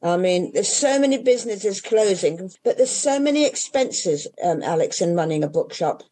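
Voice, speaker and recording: Older english lady bookseller, warm mellow tone, keeps restarting and wandering off on asides. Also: mid-range voice, lively intonation, moderate pace, rough recording. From England. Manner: rambling, with many restarts and trailing asides. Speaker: independent bookshop owner. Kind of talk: casual interview chat.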